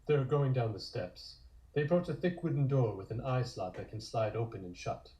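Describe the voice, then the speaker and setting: A man's voice: medium pitch, animated, normal speed, dark tone, poor audio. A man narrating scripted scenes in the present tense for a comic table read.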